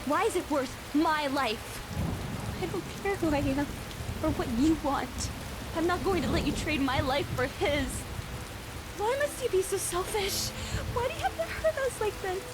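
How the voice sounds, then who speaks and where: An actress voicing a hurt, defiant young woman of nearly eighteen, pleading and accusing her father, with a steady noise behind. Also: very high-pitched, moderate pace. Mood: anguished. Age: young adult. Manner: pleading, then anguished and accusing.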